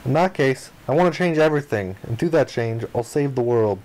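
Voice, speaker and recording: A man's voice: medium pitch, a little fast, poor audio. A man reading a visual novel's narration and dialogue aloud as a playthrough host, expressively acting out the lines. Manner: expressive, acts out the protagonist's lines.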